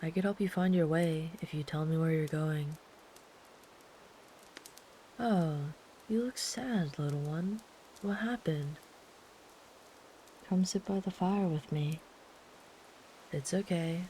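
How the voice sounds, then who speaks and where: A woman voicing a big, gentle, protective traveler camping in the woods, speaking softly and soothingly, sometimes in a whisper, as ASMR comfort roleplay. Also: low voice, takes it slowly, long pauses, a little hiss or hum, rough recording. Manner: soft, soothing, calm, at times whispered.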